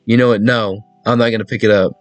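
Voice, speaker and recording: A man's voice: lowish voice, steady tone, fast, no echo. A man giving casual let's play commentary, reacting aloud to a visual novel, repeating himself and tossing in teasing asides. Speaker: gaming video host. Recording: music behind a dry voice. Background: game music.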